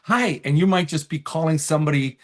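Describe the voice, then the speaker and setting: A man's voice: on the high side, brisk. A man chatting casually as a comedian guest in an interview, telling stories and slipping into quoted voices. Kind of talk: casual interview chat with anecdotes.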